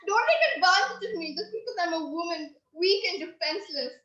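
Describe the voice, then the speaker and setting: Very high-voiced, relentless wailing wife. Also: female voice, lively intonation, a little fast, fairly bright tone, muffled recording. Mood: wounded, pleading. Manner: insistent, melodramatic, plaintive. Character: pushy, wailing, self-pitying wife petitioning officials, relentlessly stubborn. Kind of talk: comic stage-play acting. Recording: roomy, band-limited recording.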